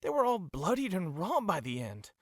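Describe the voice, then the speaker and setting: A man playing a caring, troubled pony worker in an audio drama, halting and heavy-hearted. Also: high-pitched, wildly swooping pitch, dry recording, clean studio sound, heavily acted. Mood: troubled, concerned.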